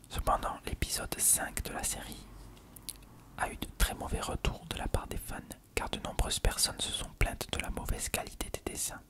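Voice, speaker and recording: An ASMR host who speaks entirely in an unvoiced whisper. The delivery is measured and explanatory, like an encyclopedia article being read aloud for a listener. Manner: measured, explanatory, reading from text.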